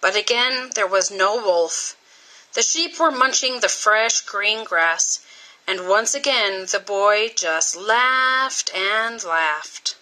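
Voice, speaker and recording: A woman reading a children's story aloud in an expressive, scripted style with dramatic, deliberate phrasing. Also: mid-range voice, low-quality recording. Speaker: storybook reader.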